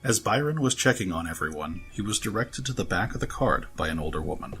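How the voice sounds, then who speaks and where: A man's voice: low voice, lively intonation, a little fast, fairly bright tone. A man reading scripted narration for a fantasy adventure story.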